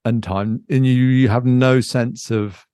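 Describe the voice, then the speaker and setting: A man's voice: mid-range voice, fairly even intonation, slow pace, dark tone, clear, resonant tone, dry recording, clean studio sound. A British man chatting casually and unscripted as a podcast co-host, thinking aloud in a hesitant, reflective way.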